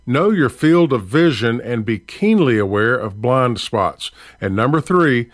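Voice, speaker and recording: A man hosting a farm radio program, reading rural news and sponsor copy in a steady announcer delivery into a studio mic. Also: mid-range voice, dry recording.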